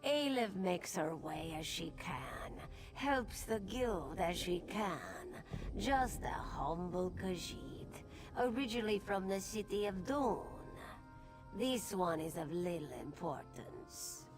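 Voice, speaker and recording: A woman playing a humble, inquisitive Khajiit cat-woman in a video game, speaking tersely and deliberately. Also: lowish voice, expressive, soft background music. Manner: terse, deliberate, analytical, speaking in third person. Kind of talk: video game character dialogue.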